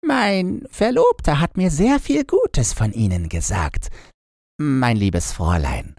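A man's voice: mid-range voice, big pitch swings, slow, darkish tone, no room sound, studio quality, pitched above the performer's own voice, heavily acted. A man voicing a tame female crow in a fairy tale audiobook, prim, polite and formal in manner. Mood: courteous, brisk.

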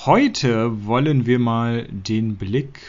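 A man from Germany, hosting a podcast and explaining slowly and clearly for learners. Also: mid-range voice, highs cut off. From northern Germany. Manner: slow, clear, deliberate speech for learners. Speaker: podcast host.

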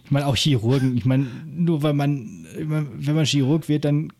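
A man's voice: on the high side, normal speed, vocal fry, a little mellow. A man co-hosting a podcast, chatting casually and rambling with many fillers and restarts. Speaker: podcast co-host. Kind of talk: casual chat. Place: holiday flat.

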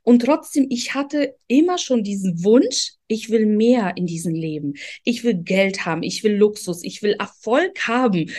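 A woman's voice: on the high side, a little mellow. A woman from Ukraine, hosting an interview and talking in an earnest, motivational, direct way. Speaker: host. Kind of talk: interview conversation.

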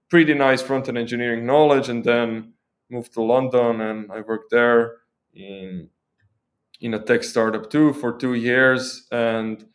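A young man from Croatia with a Croatian accent, a guest talking casually and thoughtfully in an interview, often restarting his phrases. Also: mid-range voice. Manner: thoughtful, with frequent restarts and fillers.

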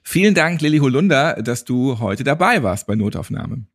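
A man's voice: mid-range voice, sing-song, normal speed. A man hosting a podcast casually, chatty and wry in his way of talking. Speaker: podcast host.

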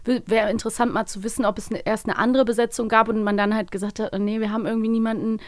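A woman's voice: a little high, fairly even intonation, rapid-fire, dry recording. A young woman hosting a podcast, chatting casually with a co-host. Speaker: host of an audio drama review podcast.

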